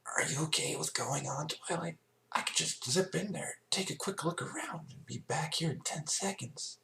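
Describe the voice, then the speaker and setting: A man's voice: fairly high pitch, expressive, moderate pace, vocal fry, bright, forceful tone, reverberant, slight background noise, pitched above the performer's own voice, full character voice. A man voicing a brash, cocky young female pegasus pony in a story reading. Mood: brash, eager.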